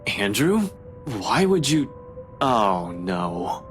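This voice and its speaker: A man's voice: on the low side, very animated, very slow, heavily acted. Hesitant, weary young man. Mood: puzzled. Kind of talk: visual novel voice acting. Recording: game music behind.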